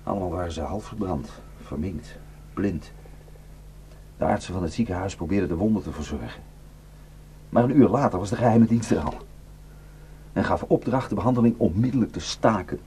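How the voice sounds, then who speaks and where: A desperate, guilt-ridden defector in a radio drama. His voice sits fairly low and moves between halting confession and bitter, intense warning. A faint hum and noise sit behind him. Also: animated, mellow. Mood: grave, intense. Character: haunted, guilt-ridden Kurdish male defector, desperate to warn villagers. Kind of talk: Dutch radio drama acting. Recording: noisy radio play recording with hum.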